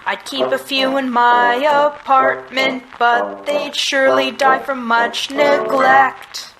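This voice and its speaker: A woman playing a wry, down-on-their-luck androgynous private detective in a musical adventure game, musing and deadpan. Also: high voice, takes it slowly, creaky, full character voice. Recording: loud music behind voice. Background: loud music.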